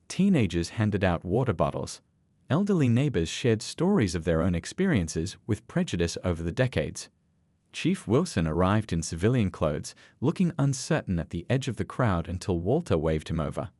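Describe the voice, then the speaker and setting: Man, story narrator, animated intonation, dry close sound, earnest scripted delivery. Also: fairly low pitch, no room sound.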